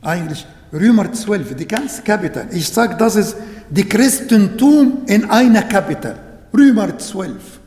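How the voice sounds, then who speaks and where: A man preaching a sermon in German that is not his first language, slowly and emphatically, repeating words and restarting phrases. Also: high-pitched, expressive, darkish tone. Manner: halting non-native German, repeats phrases, slow and emphatic. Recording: echoey hall with long reverb.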